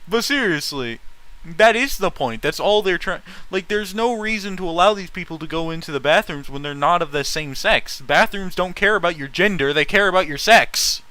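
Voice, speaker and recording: A man's voice: high-pitched, expressive, moderate pace, sharp tone, clean tone, no room sound. A man in casual, opinionated stream chat, talking bluntly and swearing freely.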